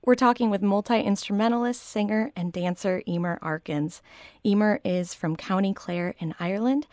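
A woman's voice: medium pitch, a little mellow, no room sound, studio quality. A woman hosting a radio interview in a conversational, inviting manner. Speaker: radio host.

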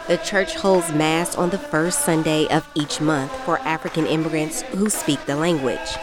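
Low-voiced woman radio reporter. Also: over music. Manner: measured, even reporting delivery. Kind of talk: scripted news narration.

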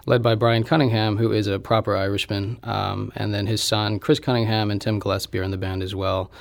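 A young American man with a fairly low voice that often drops into gravelly fry. He speaks casually, as an interview guest answering questions about himself. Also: flat delivery, brisk. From Indianapolis, United States.

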